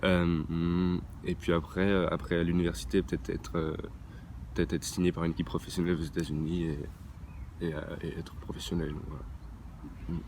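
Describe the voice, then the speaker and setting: A man's voice: sits low, level tone, moderate pace, darkish tone. A teenage boy who plays baseball, answering interview questions hesitantly with many fillers and restarts, outdoors at a training ground. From France. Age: teens. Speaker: young baseball player interviewed. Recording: outdoor traffic noise. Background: traffic and ambient noise.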